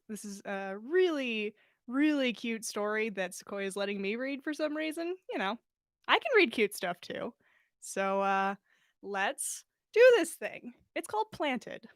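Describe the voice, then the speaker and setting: A woman's voice: a little high, no echo, studio quality. A woman hosting a livestream, chatting casually and reading fan fiction aloud in a bubbly, self-deprecating way. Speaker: podcast host and story reader.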